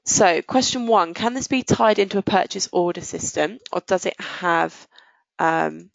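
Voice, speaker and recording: A woman presenting a software demo and answering questions as a webinar host, explaining things in business-like phrasing. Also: fairly low pitch, animated, unhurried, gravelly, no room sound, poor audio.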